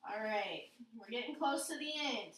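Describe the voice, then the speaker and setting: A woman teacher with a very high voice explains things to a class and often checks in with questions. She is recorded in an echoey room, some way from the microphone. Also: animated, normal speed, a bit bright, a little hiss or hum. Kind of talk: classroom lesson on figurative language. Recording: roomy echo, distant microphone.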